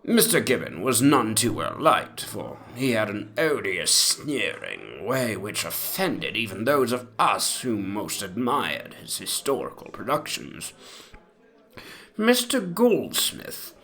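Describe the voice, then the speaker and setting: A man playing a pompous, very old eighteenth-century gentleman in a dramatic reading of his mock memoir, with mannered, antiquated diction. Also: on the low side, wildly swooping pitch, slow, full character voice. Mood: pompous, gossipy. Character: pompous, ancient 18th-century English gentleman memoirist, fond and gossipy.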